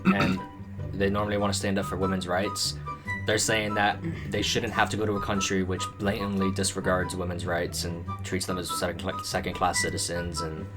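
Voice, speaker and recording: A man's voice: deep voice, level tone, normal speed. A young man in casual group chat, explaining the facts with stops, restarts and fillers. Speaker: panel member. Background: music.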